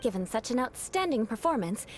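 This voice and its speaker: A woman's voice: a little high, very animated, a little fast, faint music behind. A woman playing a haughty young knight in a video game, formal and theatrically stern, with talk of revenge that masks a caring side. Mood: composed, formal.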